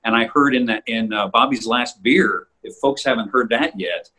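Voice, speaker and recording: A man in a casual behind-the-scenes chat, talking like a voice actor in a thoughtful, rambling, halting way. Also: mid-range voice, pushed and bright, husky, poor audio. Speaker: voice actor in an audio drama group.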